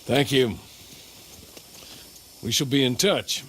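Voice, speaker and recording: An actor playing a confident, formal gentleman agent with a wry manner in a Western video game. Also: medium pitch, slow pace, slight background noise. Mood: businesslike. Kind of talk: dramatic video game acting.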